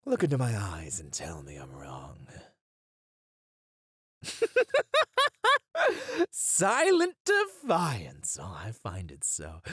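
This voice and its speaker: A man playing a gloating, seductive supervillain in an ASMR roleplay, theatrical and grandiose, savoring words and often dropping to a breathy near-whisper. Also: deep voice, a bit bright, dry recording, clean studio sound, full character voice. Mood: insistent, tempting. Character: gloating seductive male supervillain taunting a caged hero. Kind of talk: ASMR villain roleplay monologue.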